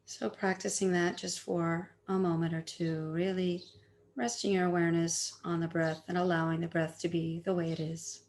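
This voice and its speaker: A woman teaching meditation, giving gentle, soothing guided instructions with long pauses between phrases. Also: lowish voice, level tone.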